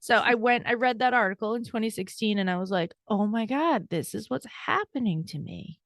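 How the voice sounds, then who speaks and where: A woman in her forties, a burnout coach and guest on a podcast interview, telling her story in a candid, brisk, self-deprecating way. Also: on the high side, lively intonation, fairly bright tone, dry recording, studio quality.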